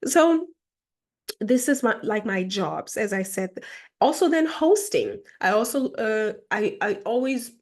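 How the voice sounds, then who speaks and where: A woman's voice: a little high, animated, measured pace, darkish tone. A woman from Germany talking as a personal mentor in a casual vlog monologue, chatty and reflective, with lots of "like" fillers. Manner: chatty, reflective, fills speech with "like".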